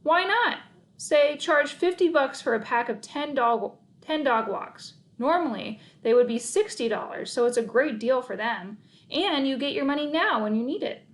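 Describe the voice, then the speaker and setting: A woman's voice: high-pitched, expressive, moderate pace, pitched above the performer's own voice. A woman voicing a confident, practical boy from a children's storybook, matter-of-fact and emphatic. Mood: explaining. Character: confident practical boy, schemer and math champion.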